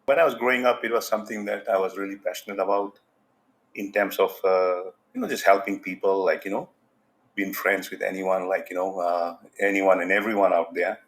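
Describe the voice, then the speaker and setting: A man's voice: low-pitched, fairly even intonation, a little fast, poor audio. A man from Fiji, a podcast guest, talking casually and rambling with lots of "you know" fillers. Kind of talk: casual interview talk.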